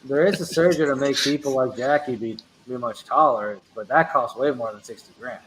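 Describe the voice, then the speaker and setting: A man hosting a casual radio show, chatting in an animated way and reading out viewer comments. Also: medium pitch, a little fast, a loud crowd, poor audio. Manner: animated, laughing, reads chat aloud. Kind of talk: casual banter.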